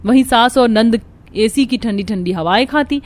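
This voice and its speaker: A woman's voice: a little high, moderate pace, no room sound. A woman narrator reading a scripted cartoon family story.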